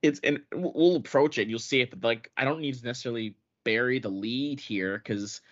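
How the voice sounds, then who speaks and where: A man co-hosts a podcast, joking with his friend and swearing without a second thought. His voice sits at a middling pitch. The recording sounds dull and lo-fi. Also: fairly quick, fairly bright tone. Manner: sarcastic, swears casually. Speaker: podcast co-host. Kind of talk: casual banter.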